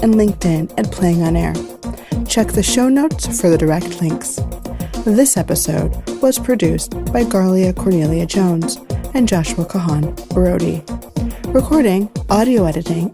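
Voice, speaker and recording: A woman reading scripted podcast credits as an announcer. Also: lowish voice.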